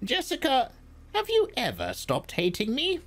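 A man's voice: extremely high pitch, wildly swooping pitch, unhurried, a bit bright, pitched above the performer's own voice, heavily acted. A man reading aloud, voicing a stern, commanding old woman elder with a clipped, probing manner.

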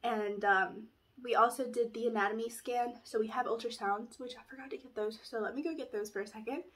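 A woman's voice: a little high, fairly even intonation, brisk, a bit bright. A young woman with a stuffy nose from allergies, chatting through a casual pregnancy update at home, rambling with lots of fillers. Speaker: vlogger. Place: small room at home, indoors. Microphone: camera in front, framed at chest.

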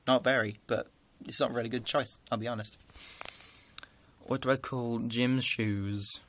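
A man's voice: mid-range voice, fairly even intonation, a little slow, a bit bright, slight background noise. A young man with a northern English accent, chatting casually through a list of questions into a close webcam in a small room, rambling with dry asides. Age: young adult. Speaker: vlogger.

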